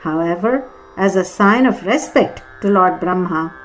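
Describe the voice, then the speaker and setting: Woman narrating, soft music behind. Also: lowish voice. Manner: measured storytelling. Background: Carnatic music with sitar.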